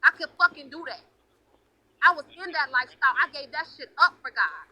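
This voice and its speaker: A woman in her late twenties with a piercingly bright, fairly high voice. She talks casually but with heat, as a livestream host defending herself. Her delivery is emphatic and peppered with swearing. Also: animated, brisk, low-quality recording. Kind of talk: heated casual livestream talk.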